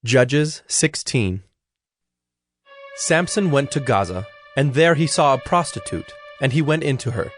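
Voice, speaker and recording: A man narrating dramatized scripture in a measured storytelling manner. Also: medium pitch, animated. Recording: dry studio with music underneath. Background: music.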